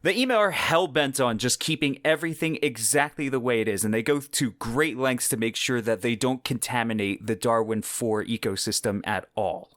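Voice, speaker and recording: A man with a medium-pitched voice hosts a podcast solo, explaining things in a measured, semi-scripted way. He slips in personal asides as he goes. The sound is very dry, with no room echo. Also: fairly bright tone. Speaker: podcast host.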